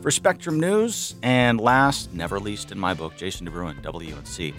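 A man hosting a public radio news roundup from a studio, relaxed with joking asides. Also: deep voice, moderate pace, faint music behind. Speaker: radio show host. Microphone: studio microphone.

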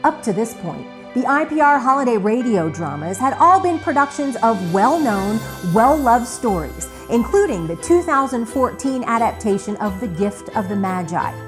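Lively higher-voiced woman narrating over music. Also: moderate pace, hardly any pauses. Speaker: narrator of a radio retrospective. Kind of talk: scripted narration.

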